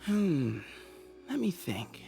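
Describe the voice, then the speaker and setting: An actor voicing an easygoing young desert scout in a game, talking in an upbeat, playful way. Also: male voice, high voice, big pitch swings, drawn-out words, vocal fry, dark tone, faint music behind, heavily acted. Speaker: game character. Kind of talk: game voice lines.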